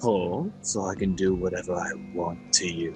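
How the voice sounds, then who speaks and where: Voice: deep voice, background noise, voice pushed down, lightly acted. Someone acting out a taunting, cruel demon lord. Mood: mocking contempt. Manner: taunting, drawn-out menace. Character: mocking, cruel male demon lord, smug and predatory. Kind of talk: fan-dub game villain acting. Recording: music behind.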